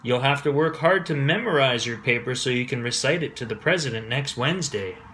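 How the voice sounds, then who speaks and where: Guy doing storybook male teacher, commanding but encouraging, forceful voice, faint noise. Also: mid-range voice, lively intonation, fairly quick, natural voice. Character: encouraging, authoritative male schoolteacher. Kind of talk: children's storybook read aloud.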